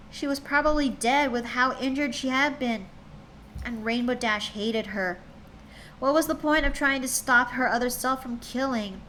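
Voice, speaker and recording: Female streamer narrating a story live, casual and a bit stumbly, slightly high voice. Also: fairly bright tone, slight background noise, low-quality recording. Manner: chatty, stumbling over words while reading. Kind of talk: reading fan fiction aloud on stream.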